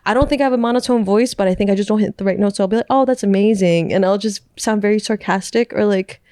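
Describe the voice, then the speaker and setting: A woman's voice: mid-range voice, fast talker, smooth, dry recording. Chatty laughing woman, dry close mic. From New York City. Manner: rambling, laughs often, trails off softly. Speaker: podcast guest. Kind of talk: casual chat.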